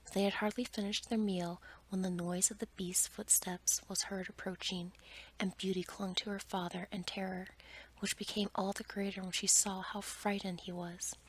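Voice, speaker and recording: A woman softly whispering a bedtime story in ASMR style, with a breathy, gentle delivery. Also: mid-range voice, flat delivery, normal speed, rough recording. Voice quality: whispered, breathy, mostly unvoiced. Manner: soft, gentle reading with little change between characters. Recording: noticeable background noise.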